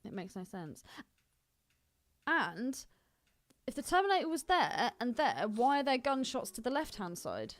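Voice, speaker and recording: A woman with a fairly high voice chats casually as a podcast co-host. She is opinionated and bluntly critical, and the sound is close and fairly dry. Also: very animated, a little slow, no echo. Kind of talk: casual film commentary chat.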